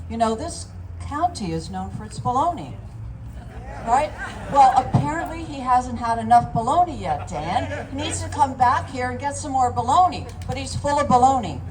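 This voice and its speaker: Older lady, heated and sarcastic, far off w/ lots of noise. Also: a little high. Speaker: retired school nurse speaking at a town hall. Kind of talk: public comment at a rally. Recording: distant mic with clear noise.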